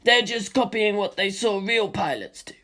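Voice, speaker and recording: A woman's voice: mid-range voice, lively intonation, normal speed, a bit bright, pitched above the performer's own voice, close to an everyday voice. A woman voicing a confident young male pilot who speaks in an upbeat, encouraging way. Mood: cheerful.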